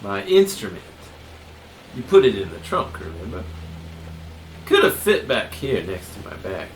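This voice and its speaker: A man playing a menacing, eerily confident drifter who philosophizes deliberately in an audio drama. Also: mid-range voice, very animated, a little hiss or hum. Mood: sly. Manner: slow, deliberate, probing with rhetorical questions. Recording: roomy, distant from the mic. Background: prominent music under the voice.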